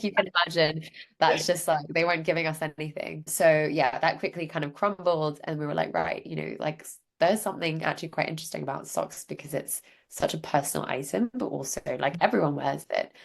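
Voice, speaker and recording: A woman's voice: deep voice, creaky. A young woman from Hong Kong, a founder being interviewed, telling her story in a chatty way with many filler words. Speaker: guest, social enterprise founder.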